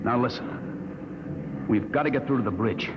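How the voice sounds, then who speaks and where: An actor playing the determined leader of trapped rebel spacemen, urgent and commanding, in 1950s radio drama style on a noisy recording. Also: male voice, medium pitch, measured pace, muffled recording, close to an everyday voice.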